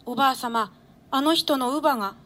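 High-pitched female-acted young duke, precocious and pert, childlike storytelling in a classical stage play. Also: brisk, a bit bright. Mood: pert, chatty. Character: precocious, cheeky little royal boy, a young duke. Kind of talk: Japanese stage play read aloud.

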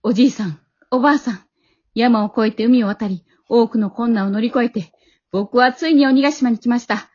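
A woman's voice: on the high side, moderate pace, a little mellow, close to an everyday voice. A woman playing an earnest, heroic boy warrior in an amateur radio drama, with declamatory delivery and muffled home-recorded sound. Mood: heroic, resolute.

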